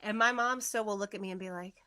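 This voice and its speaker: A woman's voice: medium pitch, steady tone, fast talker, pushed and bright, clear, resonant tone, no echo. A chatty woman from Chicago with a nasal Midwestern accent, talking as a guest in a casual interview and laughing often. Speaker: guest, comics writer and poet.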